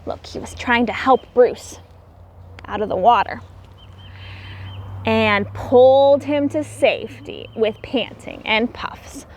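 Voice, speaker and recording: Female storybook reader, high voice, very unhurried; theatrical, emphatic teacher speaking simply to young children. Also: slight background noise. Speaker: teacher reading a picture book aloud to children. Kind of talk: expressive children's storybook read-aloud.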